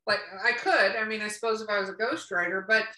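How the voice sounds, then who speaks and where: A woman's voice: mid-range voice, quick pace, sharp tone. A woman co-hosting a casual chat in a blunt, emphatic way, repeating herself for emphasis, recorded roomy and distant from the mic. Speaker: podcast co-host.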